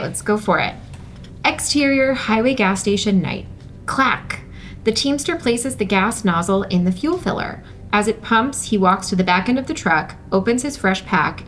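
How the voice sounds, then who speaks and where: A woman speaks at a medium pitch as the host and narrator of a script table read. There is some noise in the background behind her voice. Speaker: host and stage-direction reader.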